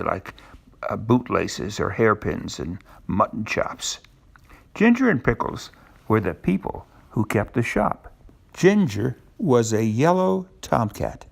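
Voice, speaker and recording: An older man reading a children's storybook aloud, pausing to explain things simply to a young listener. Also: medium pitch, vocal fry.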